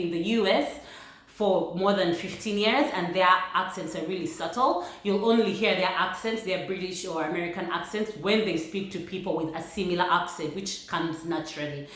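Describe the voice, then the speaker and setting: A woman from Uganda with a Ugandan accent, talking through points in a casual vlog in a measured, thoughtful way. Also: fairly low pitch, fairly bright tone. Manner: thoughtful, measured, laughs lightly between points. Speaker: vlogger. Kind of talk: casual vlog talk to camera. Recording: very echoey room.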